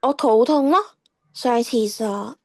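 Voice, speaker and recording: A woman's voice: a little high, takes it slowly. A woman playing a cheeky, defiant teenage schoolgirl in an audio drama, with a whiny, dramatic delivery. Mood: evasive, whiny. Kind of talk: Cantonese radio drama acting.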